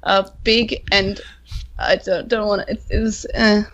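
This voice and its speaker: Bright, halting woman chatting on a podcast. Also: medium pitch, steady tone, creaky, lo-fi sound. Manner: halting, rambling delivery with broken-off phrases. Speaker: podcast co-host. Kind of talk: casual chat.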